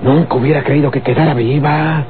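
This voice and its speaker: A man playing a callous, simple young Mexican country henchman in a radionovela, halting and matter-of-fact. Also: fairly high pitch, fairly even intonation, crowd noise, natural voice. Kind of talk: Mexican golden-age radionovela acting. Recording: tinny old radio, faint hum.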